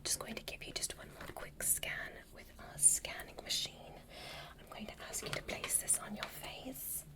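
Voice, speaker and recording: A woman voicing a gentle, curious alien doctor in a breathy, soothing whisper for an ASMR medical roleplay. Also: normal speed, close to an everyday voice. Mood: soothing, clinical. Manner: soothing, softly instructing.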